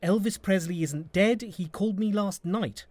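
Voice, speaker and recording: A mild office bureaucrat in an audio comedy. His voice is high for a man, with a dry, matter-of-fact delivery. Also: moderate pace, darkish tone, no echo.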